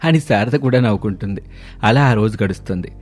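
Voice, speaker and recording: A man's voice: fairly low pitch, a little fast, a little mellow. A man reading a scripted children's story as its narrator. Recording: music under the voice. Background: background music.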